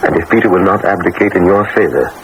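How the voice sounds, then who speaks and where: An actor playing a grave, conspiratorial courtier in a solemn, intense old radio drama style. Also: male voice, deep voice, lively intonation, fast talker. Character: grave, conspiratorial male courtier plotting a coup. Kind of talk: 1970s-80s radio drama acting. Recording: noisy old radio broadcast.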